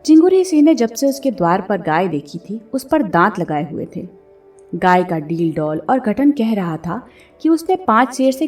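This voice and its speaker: A woman giving an expressive, scripted narration of a classic story, like an audiobook reader. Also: mid-range voice, recorded from a distance. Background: background music.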